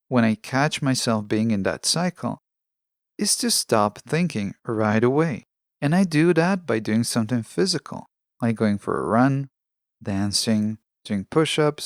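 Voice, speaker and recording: A man presenting a scripted self-help podcast monologue in a measured, instructional way. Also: medium pitch, no echo, studio quality. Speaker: podcast host.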